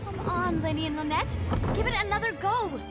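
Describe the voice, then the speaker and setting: A self-assured young lady from a video game, with a very high voice. She is polite and playful, coaxing her listeners with poise while music plays loudly underneath. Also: brisk. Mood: coaxing, confident.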